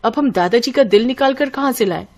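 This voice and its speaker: A woman's voice: fairly high pitch, fairly quick, darkish tone. Woman putting on an eager curious younger sister. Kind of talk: scripted story dialogue.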